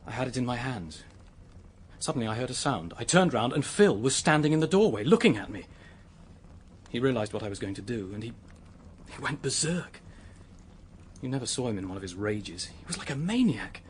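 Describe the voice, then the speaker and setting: An actor playing a distraught young British man in a radio drama, confessing to an accidental killing in a haunted, halting manner. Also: mid-range voice, big pitch swings, slight background noise, close to an everyday voice. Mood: haunted, agitated.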